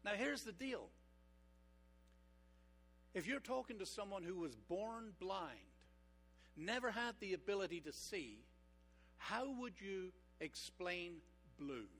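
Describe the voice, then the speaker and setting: A man preaching a sermon as pastor, engaging his congregation with rhetorical questions and slowing down for emphasis. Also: high-pitched, big pitch swings, fairly bright tone, faint music behind. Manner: slows down for emphatic points.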